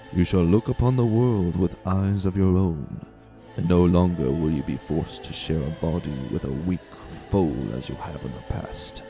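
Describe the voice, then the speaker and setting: A man's voice: deep voice, warm tone. A man voicing a zealous unicorn cult leader in a reverent, grandiose, ceremonial manner for a storybook reading.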